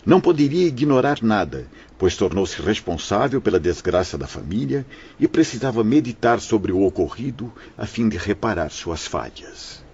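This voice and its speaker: A man's voice: on the low side, darkish tone, husky, highs cut off, exaggerated voice. A man playing a wise, benevolent spirit mentor in an audio drama, speaking in a solemn, formal way. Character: wise, benevolent male spirit mentor narrating past events.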